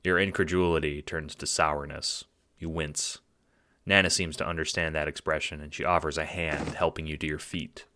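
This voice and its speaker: Deep-voiced man narrating an audio drama. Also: flat delivery, normal speed, pushed and bright. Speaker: narrator and producer. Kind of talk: second-person scripted audiobook narration.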